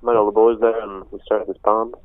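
A man's voice: lowish voice, little pitch movement, a little fast, no echo. A young man from Spain, a band musician speaking reflectively in an interview, full of "you know" fillers. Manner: soft-spoken, many "you know" fillers. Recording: tinny phone-line sound.

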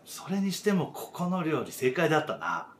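A man's voice: on the high side, very animated, normal speed. A man in his thirties playing a supportive, frank old friend in a radio drama, talking in a casual, encouraging way. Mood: upbeat. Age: 30s. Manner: casual, plain-spoken, encouraging.

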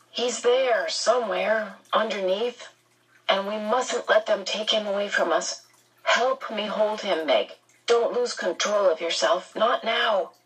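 Woman reading as an earnest, protective teenage boy, unhurried. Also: a bit bright, breathy edge, far from the mic, low-quality recording. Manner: low, urgent, steadying.

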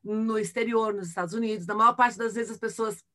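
A woman's voice: on the high side, steady tone, brisk, fairly bright tone. A middle-aged woman from Brazil with a Brazilian accent, answering conversationally in an interview with explanations and personal anecdotes, often checking for agreement. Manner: explanatory, frequent tag questions seeking agreement. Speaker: interview guest, engineer and tech ambassador.

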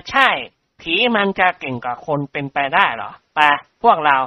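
A reader voicing a brash, bold young man with a gruff way of talking. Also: mid-range voice, expressive, moderate pace, no room sound, highs cut off, close to an everyday voice.

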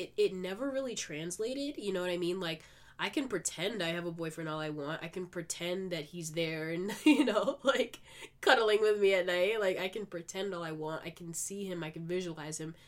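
A woman with a somewhat low voice, audibly stuffed up from a cold, hosts a solo podcast. She talks in a chatty, informal, positive way, dropping in plenty of 'like' and 'you know' as if confiding in regular listeners. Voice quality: congested, stuffed-up nose. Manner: upbeat, positive. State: sick with a cold. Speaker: podcast host. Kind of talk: casual solo podcast monologue.

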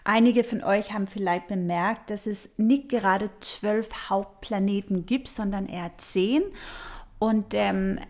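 A woman speaking German as a non-native with a foreign accent, giving an informal, unhurried explanatory talk with pauses and a playful manner. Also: medium pitch, darkish tone. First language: not German. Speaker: astrology host.

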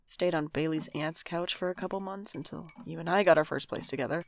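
Low-voiced, weary young adult. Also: female voice, a little fast, slight background noise. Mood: guarded, weary. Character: weary young adult estranged from family, guarded and wry. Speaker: main character and narrator. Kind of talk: audio drama acting with reflective narration.

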